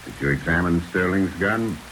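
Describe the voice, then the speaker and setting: Low voiced hardboiled detective, blunt n dry, old radio show, loud rain hiss over it. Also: male voice, normal speed, rough recording. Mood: dry, insistent. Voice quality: deep. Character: tough, skeptical male detective under arrest. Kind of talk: 1940s radio drama acting. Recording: old radio recording under hissing rain.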